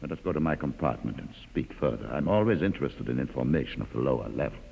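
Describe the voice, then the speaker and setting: An actor playing a courteous, shrewd mutant leader in a 1950s radio drama, formal and measured with a dry irony. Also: male voice, very deep voice, animated, gravelly, breathy edge, exaggerated voice. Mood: proud, composed. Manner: formal, measured, dryly ironic. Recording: dull 1950s radio recording, long reverb.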